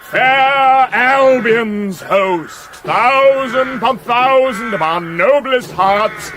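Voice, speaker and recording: Pompous young prince, mock-epic radio comedy. Slow, grandiose declamation, very animated, clear background ambience with crows. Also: male voice, extremely high pitch, background noise, exaggerated voice. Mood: grand, rallying. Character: pompous heroic young crown prince rallying his army.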